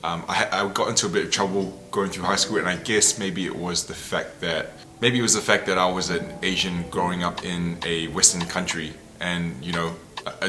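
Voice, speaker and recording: A man, telling his life story to camera in a candid, reflective, casual way. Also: low voice. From New Zealand. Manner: reflective and candid, loose and conversational. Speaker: travel content creator. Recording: voice over loud background music. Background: music.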